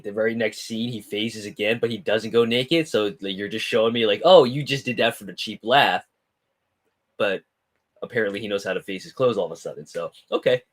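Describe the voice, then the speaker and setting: A man talking informally as a panelist in a casual discussion. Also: lowish voice. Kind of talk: casual movie discussion chat.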